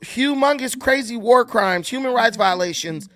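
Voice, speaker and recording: Male host, american accent, high voice, dry close sound, animated and emphatic. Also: no room sound. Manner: animated, quick asides, emphatic rhetorical questions. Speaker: show host. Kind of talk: casual political call-in chat.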